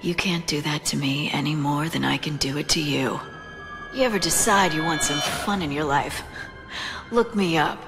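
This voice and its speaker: Low, sultry, defiant femme fatale thief. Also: female voice, moderate pace, over music. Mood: cool, teasing. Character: seductive, defiant female thief, hungry for power. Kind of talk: video game voice acting.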